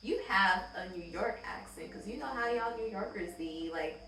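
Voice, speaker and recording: A woman and mother telling a story in a laid-back, animated way during a casual conversation. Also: mid-range voice, normal speed, slight background noise. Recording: echoey room, far from mic, music behind.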